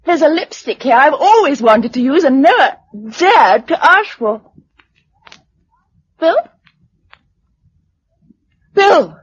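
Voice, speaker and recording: A woman's voice: high-pitched, sing-song, slow pace, long pauses, exaggerated voice. An actress playing a spirited, well-bred young woman in a radio drama, with an upper-class British accent and refined, playful delivery. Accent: upper-class British English. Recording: dull, muffled old radio recording.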